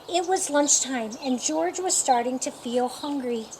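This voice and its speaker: A woman reading a picture book aloud as children's storytime, with deliberate, expressive phrasing for young listeners. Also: sits high, noisy background.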